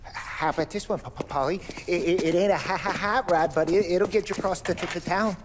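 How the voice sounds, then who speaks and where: A man voicing a jittery stuttering mechanic in a video game, tripping over his words. The voice sits at a middle pitch. It carries a long reverb under a clear hiss. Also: brisk, natural voice. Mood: eager. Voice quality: heavy stutter. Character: nervous stuttering male garage mechanic, jumpy.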